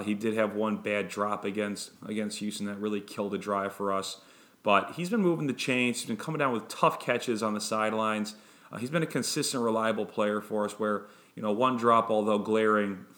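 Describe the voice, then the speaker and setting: A man hosting a fan podcast, giving a casual sports preview in a flat, monotone way, with many ums and restarts. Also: lowish voice.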